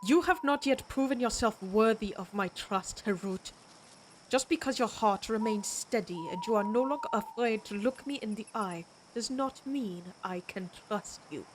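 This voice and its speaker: A woman playing a proud, menacing vampire warrior in an audio roleplay, speaking deliberately with an imperious, taunting manner. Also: medium pitch, a little hiss or hum, close to an everyday voice, poor audio. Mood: distrustful, stern.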